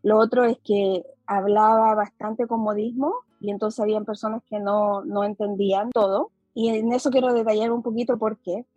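A woman's voice: medium pitch, flat delivery, normal speed, few pauses, warm tone, no room sound, dull audio, faint music behind. A woman with a mixed Chilean accent hosting a casual podcast chat over a video call, talking in a chatty way with many fillers. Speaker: podcast host.

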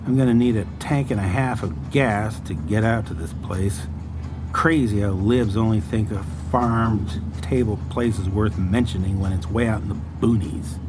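An actor playing a cynical food critic and podcaster with a husky voice in an audio drama. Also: male voice, on the low side, normal speed, noisy background, close to an everyday voice. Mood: grumbling, sardonic.

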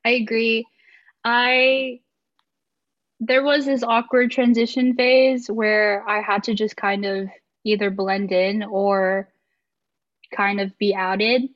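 A woman's voice: mid-range voice, level tone, takes it slowly, pauses often. Young woman on a podcast, hesitant, lots of ums, kinda muffled audio. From United States. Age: 20s. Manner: thoughtful, hesitant, many fillers. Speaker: podcast guest. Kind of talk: casual podcast conversation.

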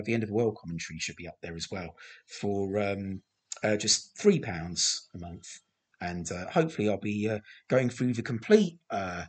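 Croaky man, rambling podcast host. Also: on the low side, a little mellow. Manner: rambling, hesitant, many fillers and restarts; self-deprecating. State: slightly croaky voice. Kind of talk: casual film commentary.

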